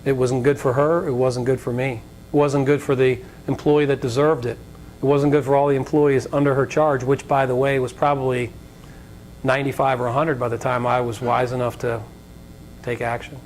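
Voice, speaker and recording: An American man gives an informal guest lecture in a slightly raspy voice of ordinary pitch. He is conversational and jokey, wandering through anecdotes. The live recording carries a faint rumble of background noise. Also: little pitch movement, moderate pace, a little mellow, rough recording. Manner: conversational, joking, digressive. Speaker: guest speaker, business leader. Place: college lecture event before an audience.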